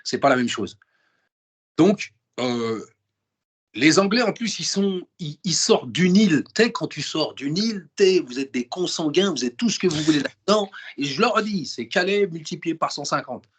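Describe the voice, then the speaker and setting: A man with a husky, slightly high voice, talking animatedly as an interview guest. He speaks in casual slang and keeps restarting and repeating his words to drive points home. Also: moderate pace. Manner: emphatic and animated, full of restarts and repetition.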